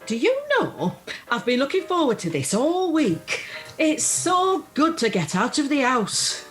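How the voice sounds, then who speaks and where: A woman's voice: high-pitched, sing-song, unhurried, low-quality recording. A woman playing a chatty, plucky older widow in an amateur radio play, with a Northern English accent and an earthy, self-deprecating manner. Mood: flustered, chatty. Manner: earthy, self-deprecating, uses homely dialect. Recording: faint music, home video-call recording.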